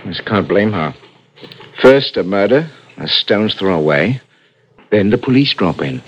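A dull old radio recording of a deferential junior policeman. His low, husky voice suits the vintage suspense-drama style. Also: expressive, a little slow. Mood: sympathetic.

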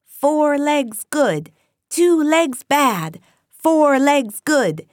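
A woman voicing silly sheep in a storybook, bleating a slow, drawn-out chant. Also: sits high, big pitch swings, darkish tone, no room sound, studio quality, voice pushed up, full character voice. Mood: mindless, gleeful. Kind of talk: audiobook character voice.

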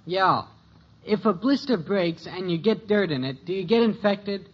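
Excuse-making teenage son, high-pitched, husky, rambling, 1950s radio sitcom, muffled old recording. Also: male voice, animated, normal speed. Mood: sheepish. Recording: dull vintage radio broadcast.

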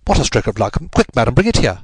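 A man playing a commanding English gentleman detective in a story, incisive, urgent and authoritative. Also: medium pitch, big pitch swings, fast, breathy edge, no echo. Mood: urgent, excited.

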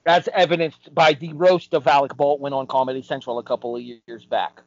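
A man's voice: medium pitch, flat delivery, a little fast, vocal fry, no echo. A man in his thirties co-hosting a casual podcast panel, arguing his points in an emphatic, opinionated way. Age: 30s. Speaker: podcast co-host. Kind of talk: casual panel debate about game shows. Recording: dull, dry lo-fi recording.

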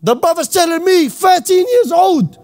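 A man from Iraq, giving an impassioned, slang-heavy talk to young people as an Islamic speaker and often shouting. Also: very high voice, animated, moderate pace. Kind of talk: impassioned motivational talk to youth.

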